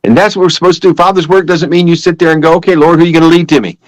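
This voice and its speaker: A man's voice: on the high side, fairly even intonation, rapid-fire, no room sound. An older man giving a personal religious testimony as a guest, telling it in an emphatic, repetitive, conversational way.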